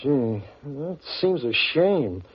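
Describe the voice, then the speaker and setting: An actor playing a plain-spoken, curious sidekick with an American accent in an old radio drama, informal and a little naive. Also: male voice, medium pitch, very animated, slow, husky, full character voice. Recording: muffled vintage radio recording.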